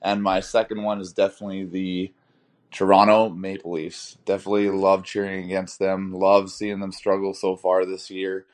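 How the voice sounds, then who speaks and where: Low voiced guy leaving a voicemail, kinda hesitant, lotsa uhs. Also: monotone, moderate pace. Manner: hesitant, lots of uh fillers. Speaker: voicemail caller. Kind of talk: casual voicemail message.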